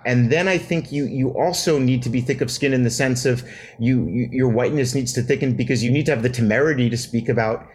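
A man's voice: mid-range voice, brisk, darkish tone. A man, a novelist being interviewed, answering at length in a reflective way, often restarting phrases with fillers. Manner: thoughtful, many restarts and fillers. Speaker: novelist guest.

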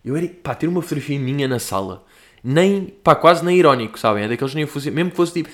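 A man's voice: on the high side, animated, quick pace. A young man from Portugal talks in a loose, rambling way, full of slang and asides. His European Portuguese accent is plain, and he pokes fun at himself as he answers listener questions like a comedian hosting his own show. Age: young adult. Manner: rambling, slangy, self-mocking. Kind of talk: casual monologue.

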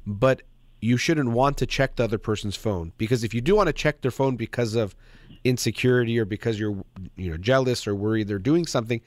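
A man hosts a call-in advice show and gives guidance in a calm, measured voice. The sound is close and dry, with no room around it. His pitch sits in the middle of the usual range for a man. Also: no room sound. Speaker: radio host.

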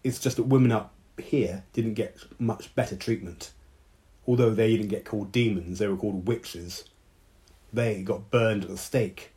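A man's voice: lowish voice, fairly even intonation, normal speed, dark tone, lightly acted. A man voicing a dry, teasing young female mermaid who looks after her friend. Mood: wry.

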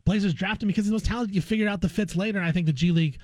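A man's voice: high voice, very fast, darkish tone, dry recording. A man hosting a casual sports talk interview, speaking in an opinionated way. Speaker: sports radio guest host and interviewer.

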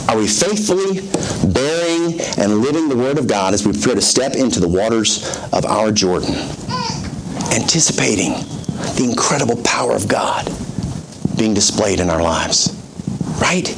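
A pastor with a soothing voice, preaching a conversational sermon with self-deprecating jokes in a church service. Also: male voice, fairly low pitch, big pitch swings, moderate pace, pauses often, background noise.

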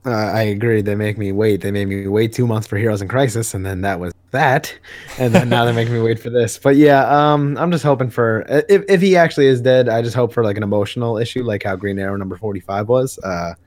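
A man's voice: on the low side, a little fast, a little mellow, no room sound. A man co-hosting a podcast, chatting casually about comics in a rambling, hesitant way and often correcting himself. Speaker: podcast co-host. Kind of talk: casual comics discussion chat.

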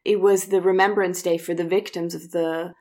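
A woman's voice: on the low side, flat delivery, moderate pace, a little mellow. A woman co-hosting a discussion podcast, explaining and criticizing in an earnest, conversational way. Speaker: podcast co-host.

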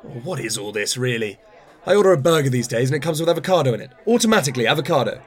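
A male actor as a middle-aged-to-older man of the theatre, self-absorbed and wry. He talks very quickly at a middling pitch, rambling through his opinions with a patronising edge, in natural radio play dialogue. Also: very animated, some chatter behind, lightly acted. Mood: grumbling.

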